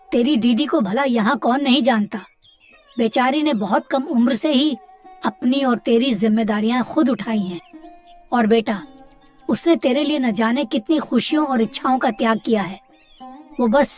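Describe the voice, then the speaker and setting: A woman voicing a kindly older village uncle in a cartoon story, giving gentle moral advice. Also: a little high, moderate pace, soft background music, natural voice. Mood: caring. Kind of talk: dramatised cartoon story dialogue.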